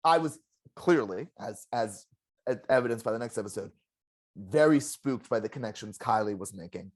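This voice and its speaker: A former game contestant in a casual exit interview, telling stories in an animated, self-deprecating way with a loud voice. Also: mid-range voice, normal speed. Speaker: eliminated contestant, juror.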